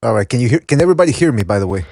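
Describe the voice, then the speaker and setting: A man's voice: medium pitch, expressive, rapid-fire, vocal fry, a little mellow, dry recording, studio quality. A man hosting a casual call-in sports podcast over an online voice call. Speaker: podcast host.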